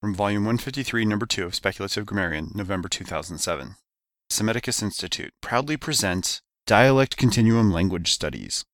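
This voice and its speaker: A male announcer delivering a mock advertisement as a deadpan sales pitch, rattling off the disclaimers at high speed. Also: a little fast, dry recording, studio quality.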